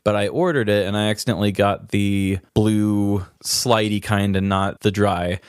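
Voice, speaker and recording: Male co-host, low register, dry sound, casual comedic chat with a self-deprecating streak. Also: steady tone, moderate pace, smooth, dry recording. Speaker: podcast co-host.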